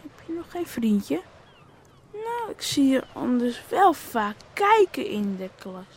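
A woman's voice: high voice, sing-song, slow pace, darkish tone, a little hiss or hum, exaggerated voice. A woman playing a cocksure young fisherman's son, bossy and teasing, in a Dutch radio play.

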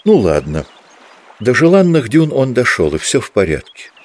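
A man's voice: mid-range voice, wildly swooping pitch, normal speed, a little mellow. A man reading literary prose as a scripted audiobook narration.

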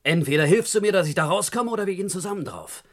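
An actor playing a brazen, menacing criminal on the run in a vintage radio crime play, with a mocking, slangy manner. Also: male voice, high voice, lively intonation, fast talker. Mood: threatening, mocking.

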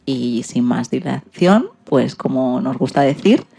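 Radio presenter, creaky voice, mid pitch, casual chatty delivery. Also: animated, takes it slowly, dark tone. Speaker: radio programme host.

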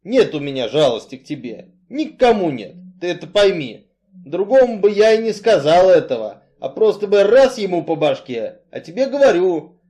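A man voicing a brooding, weary strongman who speaks bluntly and emphatically in halting, colloquial phrases. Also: sits high, big pitch swings, dark tone, voice pushed up. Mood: blunt, emphatic.

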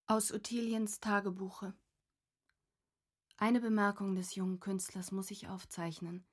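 A woman's voice: mid-range voice, little pitch movement, unhurried, natural voice. An actress reading as a reflective young woman's diary voice in a composed, contemplative, scripted literary radio style. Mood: thoughtful.

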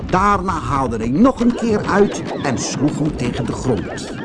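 A man reading a children's audiobook story as narrator, in an expressive, dramatised way. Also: fairly high pitch, unhurried, music in the background.

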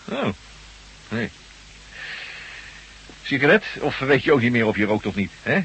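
An actor playing a jovial, loyal trucker pal, chummy and teasing, in a Dutch radio drama. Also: male voice, medium pitch, big pitch swings, unhurried, a bit bright, breathy edge, heavily acted, low-quality recording. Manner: hearty, familiar, teasing. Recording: faintly hissy old radio recording.

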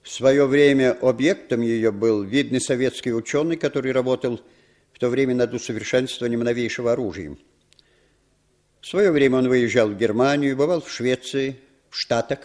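An actor playing an urbane, commanding, cynical intelligence chief in a 1960s Soviet radio drama, with deliberate, slyly ironic delivery. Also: male voice, mid-range voice, a little mellow, natural voice. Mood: businesslike briefing.

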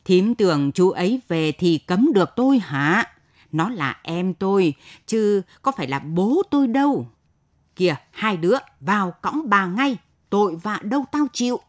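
A woman's voice: medium pitch, big pitch swings, unhurried. A woman voicing a hot-tempered, scornful, bossy village man in a story. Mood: commanding.

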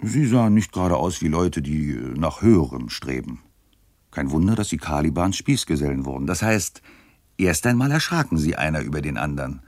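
A man's voice: very deep voice, big pitch swings, brisk, a little mellow, no room sound, clean studio sound, exaggerated voice. A man narrating a radio play in a wry storytelling manner.

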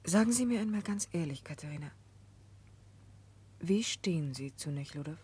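A woman playing a calm, perceptive prisoner and confidante in a 1960s German radio drama, husky and gently direct. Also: sits low, moderate pace, heavily acted. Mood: probing, gentle.

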